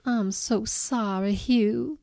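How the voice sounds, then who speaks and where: An actress playing a gracious, sympathetic woman in an audio drama, with a gentle, concerned manner. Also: on the high side, steady tone, takes it slowly, vocal fry. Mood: concerned, consoling.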